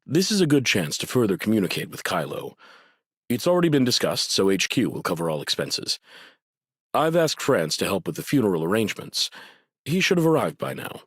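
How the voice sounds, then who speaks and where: Deep-voiced, courteous academic official. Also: male voice, big pitch swings, husky, no room sound, clean studio sound. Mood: polite, composed. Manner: formal, measured, polite. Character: courteous, earnest male academic district administrator. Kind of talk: scripted anime game dialogue.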